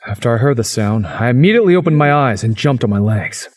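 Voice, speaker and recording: A man's voice: mid-range voice, animated, fast, a little mellow, lightly acted. A man playing a cocky, sceptical young man who narrates a visual novel game with dramatic flair. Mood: narrating.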